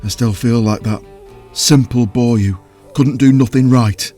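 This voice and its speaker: An actor playing a huge, simple, childlike potion brewer in an audio drama, with rustic folksy speech and a halting, earnest, wounded manner. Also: male voice, mid-range voice, dark tone, faint music behind, close to an everyday voice. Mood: melancholy. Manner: halting, plain-spoken, earnest. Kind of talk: audio drama character acting.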